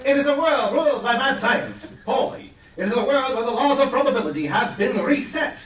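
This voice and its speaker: A vain, deluded adventurer hero in a comedic radio play. The voice is very high and theatrical, pompous in every phrase, and caught echoey from a distant stage mic. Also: male voice, lively intonation, brisk, fairly bright tone, soft background music. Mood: grandiose. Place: live convention stage.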